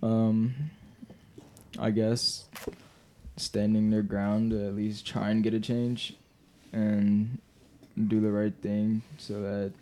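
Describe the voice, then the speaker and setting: A young man talking casually as a podcast guest in a hesitant, halting way. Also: fairly low pitch, monotone, dark tone, a little hiss or hum. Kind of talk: casual conversation.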